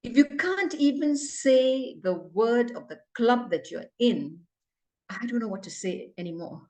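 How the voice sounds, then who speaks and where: A woman's voice: fairly high pitch, a little mellow, distant mic. A woman with a Malaysian accent, giving a stern, emphatic language evaluation at a club meeting. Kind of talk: club meeting report on a video call.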